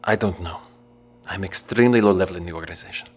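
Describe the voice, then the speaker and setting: A man playing a guarded low-level male operative who speaks in a quiet, terse way, in an audio drama. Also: fast talker, husky.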